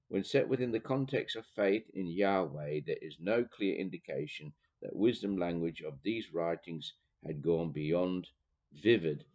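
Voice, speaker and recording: Dutch-accented man, very low voice, halting reader-commentator on a muffled recording. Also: darkish tone. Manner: thoughtful, halting, many fillers and restarts. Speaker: teacher reading and commenting on a theology book. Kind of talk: reading aloud with commentary.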